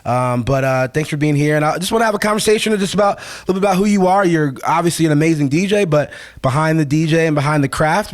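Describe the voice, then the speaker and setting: A young man hosting a casual interview, laid-back and chatty, full of "like" and "you know". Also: a little high. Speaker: podcast host.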